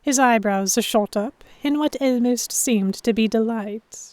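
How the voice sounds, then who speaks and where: A woman playing a stubborn, flustered young noblewoman, narrating her own story in a measured, expressive audio drama style. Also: fairly high pitch, no echo, lightly acted. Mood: tense, wistful narration.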